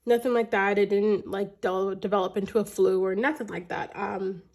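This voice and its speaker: A woman in her thirties talks to her camera in an easy, rambling vlog style, starting sentences over and filling gaps with little ums. Her voice is slightly raspy and congested from a lingering cold. Her pitch sits at an ordinary middle height. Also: steady tone, normal speed, a little mellow. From Canada. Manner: chatty, rambling, frequent restarts and ums. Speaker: budgeting vlogger. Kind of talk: casual chat to camera.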